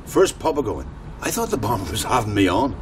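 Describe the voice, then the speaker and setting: Plain-spoken ex-convict, lively pitch. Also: male voice, fairly quick. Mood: bemused. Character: plain-spoken, polite ex-convict hitching a lift. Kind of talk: naturalistic radio drama acting. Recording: noisy car interior, vehicle rumble. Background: moving vehicle noise.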